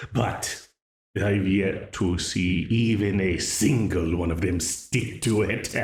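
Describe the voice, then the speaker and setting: A man voicing a slow mocking demon. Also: on the low side, darkish tone, roomy sound, slight background noise. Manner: mocking, condescending, laughs often. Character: smug ancient male demon dealmaker, menacing. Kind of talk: ASMR audio roleplay.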